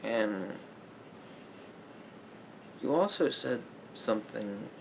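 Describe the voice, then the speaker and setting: A man plays a calm therapist in a conversational ASMR roleplay. He talks very slowly and pensively, with heavy vocal fry and frequent pauses. A noticeable low rumble runs under the recording. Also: poor audio. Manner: halting, pensive, trailing pauses. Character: calm, sympathetic male therapist talking to a client. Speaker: ASMR performer playing a therapist.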